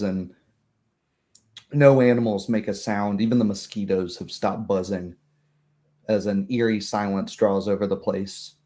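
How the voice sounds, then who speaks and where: Male game master, low and very mellow, descriptive fantasy narration.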